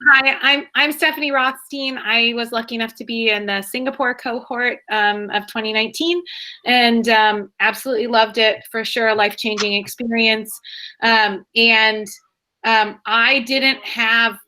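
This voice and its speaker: A woman's voice: a little high, fairly even intonation, normal speed, sharp tone. A woman speaking earnestly and reflectively as a webinar panelist. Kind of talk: casual video call chat. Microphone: video call.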